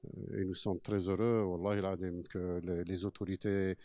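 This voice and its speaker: A man's voice: low-pitched, little pitch movement, normal speed, warm tone, no room sound. A man speaking as cofounder of a cultural centre, giving an earnest testimony in a mosque and mixing Arabic phrases into his French.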